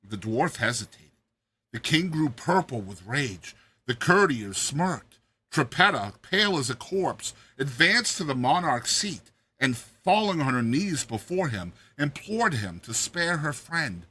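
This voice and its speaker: A man's voice: on the high side, very animated, measured pace, bright, forceful tone, slightly rough. A man narrating a classic tale as a dramatized reading, theatrical and emphatic.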